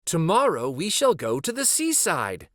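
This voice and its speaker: A man puts on a little stuffed rabbit from a storybook. The voice is lifted high and swings with eager, hopeful excitement. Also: moderate pace, dry recording, studio quality. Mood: gleeful. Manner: exclaiming, eager.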